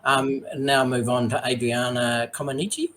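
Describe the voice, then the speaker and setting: A man serving as mayor, hosting a formal online citizenship ceremony in a measured, polite way with frequent ums, speaking over a video call. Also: mid-range voice, flat delivery, a bit bright, a little hiss or hum.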